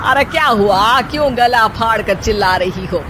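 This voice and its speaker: A woman's voice: fairly high pitch, expressive, moderate pace, noisy background, lightly acted. A woman voicing a bossy, nagging middle-aged Indian mother-in-law in a cartoon family drama, scolding and snapping querulous questions. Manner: scolding, sharp questions ending in a querulous "hain?".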